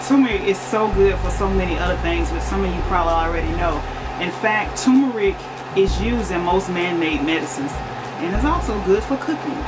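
A woman's voice: fairly low pitch, lively intonation, brisk, loud music behind the voice, low-quality recording. A woman in her forties chatting casually while she cooks in a home kitchen, rambling with fillers and restarts. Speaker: cooking vlogger. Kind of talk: casual cooking chat.

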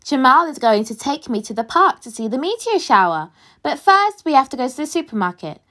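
A woman reading a children's picture book aloud with expressive, dramatic storytelling delivery. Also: high-pitched, brisk. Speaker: storybook reader.

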